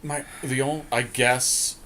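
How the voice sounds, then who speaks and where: A man's voice: medium pitch, fairly even intonation, unhurried, sharp tone, slight background noise. A man co-hosting a podcast, chatting casually and thinking aloud in informal slang as he talks through a card game draft. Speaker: podcast co-host.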